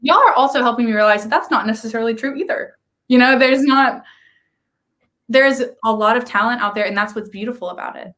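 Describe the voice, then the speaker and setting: A woman's voice: on the high side, a little fast, a bit bright. A young woman, a singing client who talks candidly and laughs at herself in an interview. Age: young adult. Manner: candid, self-deprecating, laughs often. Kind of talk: casual interview.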